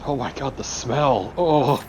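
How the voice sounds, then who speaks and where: A man's voice: mid-range voice, slow pace, darkish tone, a little hiss or hum. A man co-commentating on a video game in casual, wry banter. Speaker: let's play co-commentator. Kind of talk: casual banter over gameplay.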